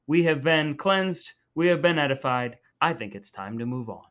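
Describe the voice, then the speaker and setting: Playfully theatrical American man, slightly high voice. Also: lively intonation, moderate pace, a bit bright. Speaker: podcast host. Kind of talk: casual comedic chat.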